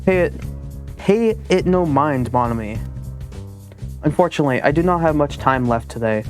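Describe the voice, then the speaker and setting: A man's voice: fairly high pitch, expressive, normal speed, soft background music, lightly acted. A man voicing a suave, mysterious male pigeon student in a visual novel, in a theatrical way with French flourishes. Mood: gallant. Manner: theatrical, sprinkles in French phrases. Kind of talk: visual novel read aloud.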